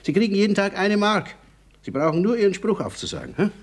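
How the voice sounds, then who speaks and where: A man playing a dry, earnest gentleman, eager yet skeptical and questioning, in a radio play. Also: sits high, fast. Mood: eager, impressed.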